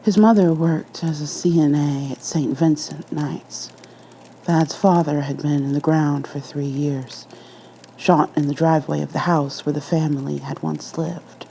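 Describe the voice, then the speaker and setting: A woman's voice: sits low, steady tone, a little slow, creaky, mellow, a little hiss or hum, low-quality recording. A woman reading a scripted horror story as an ASMR narrator.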